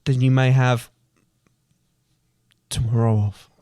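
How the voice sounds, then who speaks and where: A man playing a grumpy, petty, miserly boss in an improvised comic pantomime, grumbling in a curt, blustering, deadpan way. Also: medium pitch, takes it slowly, dry recording, lightly acted.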